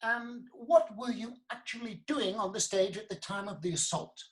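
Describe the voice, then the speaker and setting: A woman's voice: medium pitch, normal speed, natural voice. A woman playing a formal, persistent lawyer questioning witnesses in a comic courtroom play. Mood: probing. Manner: formal, inquisitive.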